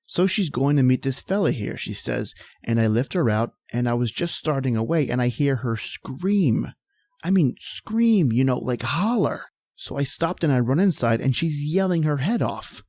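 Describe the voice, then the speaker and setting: A man's voice: medium pitch, lively intonation, normal speed, a little mellow, no echo, studio quality. An actor playing a shaken, folksy working man making a hesitant, colloquial phone report in a radio drama. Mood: uneasy, bewildered. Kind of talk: old-time radio drama acting.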